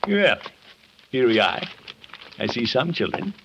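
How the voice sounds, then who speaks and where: An actor playing a pompous, boastful middle-aged suitor in a 1950s radio comedy, with a blustery, chuckling, self-satisfied manner. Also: male voice, medium pitch, big pitch swings, unhurried. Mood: smug. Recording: noisy vintage 1950s radio recording.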